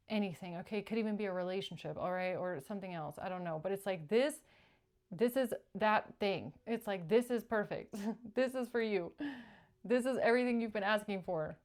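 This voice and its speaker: A woman hosting a tarot reading, speaking spontaneously to viewers and repeating short phrases for emphasis between pauses. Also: mid-range voice, a little mellow. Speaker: tarot reader and channeller, show host.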